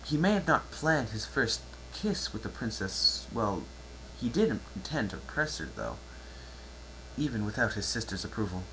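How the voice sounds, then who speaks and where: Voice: medium pitch, sing-song, moderate pace, noisy background. An amateur narrator giving a theatrical dramatic reading of fan fiction.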